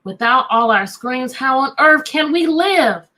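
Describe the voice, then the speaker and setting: A woman's voice: high-pitched, expressive, measured pace, bright, forceful tone, heavily acted. A woman voicing anxious storybook townsfolk who fret and exclaim over their lost screens.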